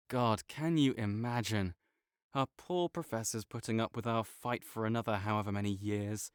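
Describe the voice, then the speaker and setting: A man's voice: on the low side, a little slow, pauses often, clean tone, dry recording, natural voice. Young male academic rival, played by a man, tsundere, teasing, defensive, dry close sound. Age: young adult. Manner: teasing and bossy, gets flustered and defensive. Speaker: voice actor in an audio roleplay. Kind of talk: audio roleplay acting.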